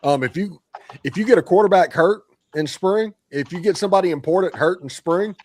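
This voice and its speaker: A man hosting a casual sports podcast, telling animated, joking stories. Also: high-pitched, a little fast. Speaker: podcast host.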